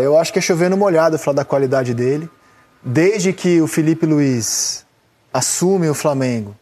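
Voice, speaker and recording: A man with a Brazilian accent hosting a televised football debate, brisk, calling on each panelist by name. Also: medium pitch, a little mellow. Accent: Brazilian Portuguese. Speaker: TV sports show host. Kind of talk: sports panel discussion.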